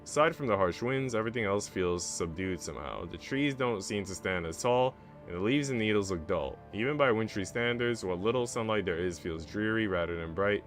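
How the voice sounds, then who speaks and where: A man's voice: lowish voice, expressive, fairly quick, hardly any pauses, soft background music. A man reading a visual novel aloud as a streamer, narrating the story in the first person.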